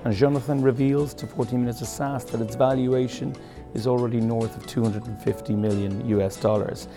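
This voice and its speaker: Podcast host with music underneath. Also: male voice, mid-range voice, steady tone. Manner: scripted intro, then halting questions. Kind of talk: interview. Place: tech conference.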